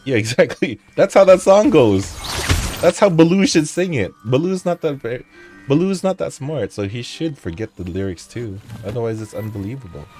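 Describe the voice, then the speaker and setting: A man's voice: mid-range voice, expressive, normal speed, darkish tone, faint music behind. A man streaming a video game, casually commenting, joking to himself and singing or humming along. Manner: goofy, sings and hums along, talks to himself.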